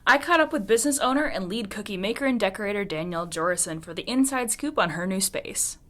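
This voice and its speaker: A woman's voice: medium pitch, animated, fast, fairly bright tone. A teenage girl reading a scripted student news report. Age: teens. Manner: reads a prepared script.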